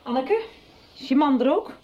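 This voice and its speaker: A woman's voice: expressive, normal speed. An actress playing a sober woman who asks pointed, probing questions and brings shocking news in a radio soap. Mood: urgent. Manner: pointed, probing questions, often quiet and low. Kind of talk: 1990s radio soap acting. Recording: dull lo-fi radio recording.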